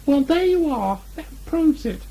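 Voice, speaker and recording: Tiny squeaky piglet from a storybook, super high, eager and nervy. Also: male voice, a little slow, exaggerated voice. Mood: excited, curious. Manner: excited, eager questioning. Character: small, timid, excitable male piglet. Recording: muffled amateur 1980 home recording.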